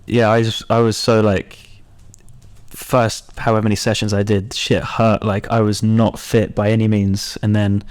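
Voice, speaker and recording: A man in his twenties co-hosts a podcast in a slightly low voice. His talk is casual and conversational, like telling friends a story. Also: little pitch movement, normal speed, darkish tone. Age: 20s. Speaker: podcast co-host. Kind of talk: casual chat.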